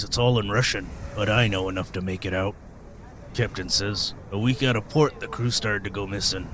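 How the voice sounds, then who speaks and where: An actor playing a weathered, gruff old sailor in a radio play, telling sea tales in folksy, hesitant rustic speech. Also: male voice, fairly low pitch, a bit bright, a little hiss or hum, lightly acted.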